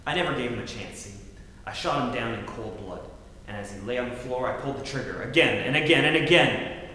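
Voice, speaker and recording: A man's voice: medium pitch, fast talker, pushed and bright, lightly acted. A man playing a hard-boiled private detective in a 1940s-style radio comedy, with tough, melodramatic gangster talk. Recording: echoey hall with faint noise.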